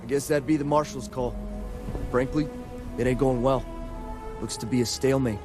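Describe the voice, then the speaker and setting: A man plays a plain-spoken space-western guard in a video game, official but folksy. His pitch is average, and music plays clearly behind him. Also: steady tone, moderate pace, close to an everyday voice. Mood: dutiful, cautioning. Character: plain-spoken male frontier city guard.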